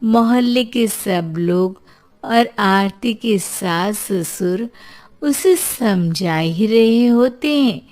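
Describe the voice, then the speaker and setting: A woman's voice: medium pitch, expressive, drawn-out words, darkish tone. A woman narrating a scripted story as its storyteller.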